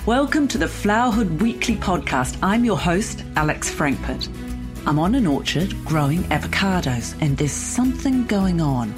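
A woman hosting a podcast, hoarse from losing her voice, explaining things in a lively, chatty way. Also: on the low side, moderate pace, music in the background. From New Zealand. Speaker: podcast host. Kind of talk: solo podcast chat.

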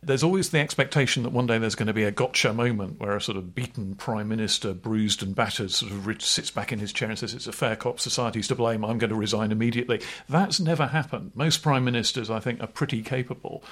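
A man's voice: fairly low pitch, fast talker, hardly any pauses, fairly bright tone. A middle-aged man co-hosting a political podcast, chatting in a wry, discursive way. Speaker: podcast co-host. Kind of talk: casual political chat.

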